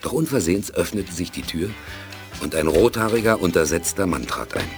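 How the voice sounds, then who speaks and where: An actor narrating a science-fiction radio play as a serious, measured storyteller. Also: male voice, deep voice, very animated, faint music behind. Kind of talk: scripted radio drama narration.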